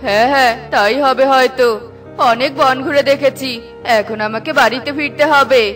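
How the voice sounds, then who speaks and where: A woman voicing a kindly, hardworking male bird who works as a boatman, speaking gently. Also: sits high, steady tone, moderate pace, soft background music. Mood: curious.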